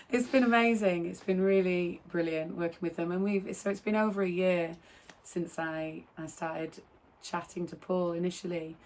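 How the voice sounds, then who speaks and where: A woman filmmaker who is losing her voice and sounds croaky, casually introducing a film with friendly, halting speech. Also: lowish voice, slight background noise.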